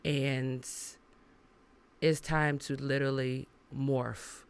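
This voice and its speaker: A woman singer, a guest on a podcast, talking in a relaxed, chatty way and laughing easily. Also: very deep voice, very slow, clean tone. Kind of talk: casual interview chat.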